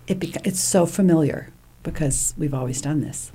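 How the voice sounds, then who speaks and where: A woman's voice: low-pitched, moderate pace, warm tone, husky. A woman of sixty-one speaking conversationally as an interview guest.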